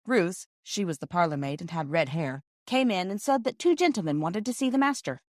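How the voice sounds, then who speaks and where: A woman's voice: on the low side, expressive, a little fast, darkish tone, dry recording, clean studio sound. A woman reading scripted storybook narration as an audiobook reader. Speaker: audiobook reader and podcast host.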